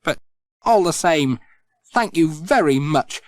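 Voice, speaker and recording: A man's voice: fairly high pitch, animated, slow, no echo. A man voicing a friendly, polite village postman who is puzzled, in a storybook reading. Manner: polite, bemused.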